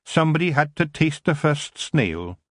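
A man voicing a tiny, fierce female fairy clan matriarch with a Scottish accent, blunt and gruff. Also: on the high side, big pitch swings, normal speed, no echo, clean studio sound, pitched above the performer's own voice. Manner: blunt, gruff, dialect-heavy.

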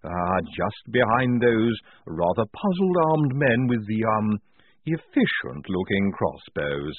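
Man voicing a timid, halting young woman. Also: lowish voice, big pitch swings, no echo, heavily acted. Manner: hesitant, halting, deferential, full of ums. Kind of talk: audiobook character acting. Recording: tinny phone-like band.

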